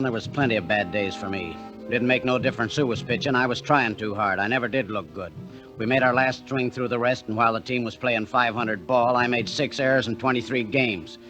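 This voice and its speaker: An actor playing a tired, evasive baseball catcher in a plain-spoken, old-time radio drama style. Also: male voice, mid-range voice, fairly bright tone, lightly acted, poor audio. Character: weary, evasive professional baseball catcher, a troubled husband. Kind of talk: 1940s-50s radio drama acting. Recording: vintage mid-century radio recording.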